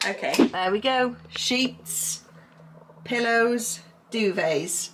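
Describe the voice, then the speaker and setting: A woman's voice: on the high side, animated, very slow, heavy background noise. A pregnant young woman chatting casually and playfully as she vlogs at home, laughing easily. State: heavily pregnant. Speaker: vlogger. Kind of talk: casual home vlog chat.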